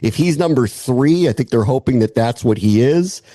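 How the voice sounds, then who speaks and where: An American man with a mellow, relaxed voice gives football analysis as a guest. He is conversational and opinionated, often asking himself a question and then weighing it up. His mid-pitched voice is recorded very dry, with little room sound. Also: normal speed, no echo. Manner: opinionated, weighing points aloud with rhetorical questions. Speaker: sports analyst, podcast guest.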